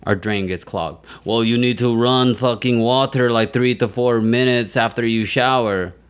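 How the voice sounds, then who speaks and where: A young man chatting casually on a podcast and telling animated, profane stories in a booming voice. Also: normal speed, clear, resonant tone. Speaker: podcast guest.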